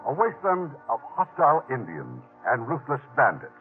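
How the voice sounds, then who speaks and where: A man hosting a 1950s radio drama, narrating from a script with a dramatic, deliberate storytelling delivery. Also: mid-range voice, wildly swooping pitch. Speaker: host and producer. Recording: tinny vintage radio with music.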